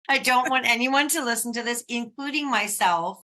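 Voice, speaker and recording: A woman's voice: on the high side, normal speed, pushed and bright. A woman from the United States hosting a podcast interview, chatty and reflective, laughing often. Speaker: podcast host. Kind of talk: casual interview chat.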